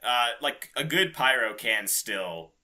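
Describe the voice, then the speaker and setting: A man co-hosting a podcast in casual gaming chat, quick to laugh as he talks. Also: medium pitch, expressive, pushed and bright. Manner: laughs readily while talking. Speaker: podcast co-host.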